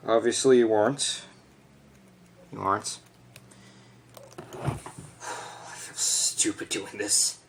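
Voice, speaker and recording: A man's voice: on the low side, steady tone, takes it slowly, vocal fry, pauses often. A young man playing an awkward, sweet teenage boy in an amateur drama, hesitant and self-conscious. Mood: awkward, sad. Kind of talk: amateur stage drama monologue.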